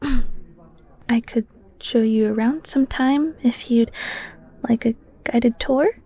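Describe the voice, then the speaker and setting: A woman's voice: on the high side, slow pace, warm tone. A woman playing a playful, flirty cheesemonger in an intimate, teasing audio roleplay. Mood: chatty, teasing. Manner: bubbly, teasing, giggly, breaks into near-whispers.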